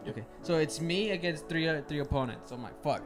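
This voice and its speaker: A young man with a somewhat high voice, loud and swearing as he tells a game story. Music plays clearly beneath him. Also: brisk. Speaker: podcast co-host. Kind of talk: casual gaming chat. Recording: music playing over the talk.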